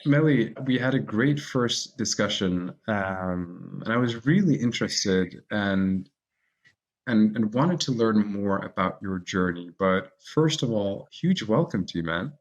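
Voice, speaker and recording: A male podcast host with a low voice asks questions in an easygoing, encouraging manner. His audio is somewhat dull and lo-fi, lacking crisp highs. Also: expressive, measured pace. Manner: friendly, encouraging interviewer. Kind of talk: casual interview hosting.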